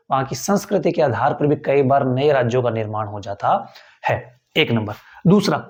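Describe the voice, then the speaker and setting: A man's voice: on the high side, darkish tone. A man teaches a civics class. He goes over each point more than once and keeps checking whether his students follow. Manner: repeats key points, quizzes listeners with rhetorical questions. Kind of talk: classroom lecture for tenth grade.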